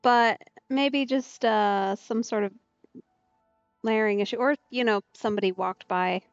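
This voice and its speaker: A woman's voice: on the high side, a little slow, dry recording. A woman giving casual let's play commentary, musing and reacting aloud in short remarks, in slightly muffled stream audio. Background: soft game music.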